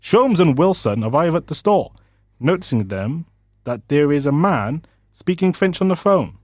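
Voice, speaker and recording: A man delivers comedic scripted narration for a radio drama. His intonation swings freely in a slightly high register, and the sound is very dry. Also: sing-song, moderate pace, a little mellow, dry recording.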